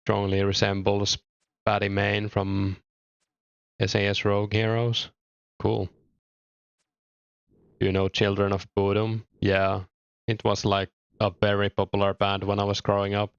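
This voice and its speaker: Finnish-accented man, low monotone voice, gravelly edge, dull dry desk mic. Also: a little slow, long pauses, no room sound. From Finland. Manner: relaxed, hesitant, thinks aloud. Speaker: game streamer. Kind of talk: casual chat reading viewer comments.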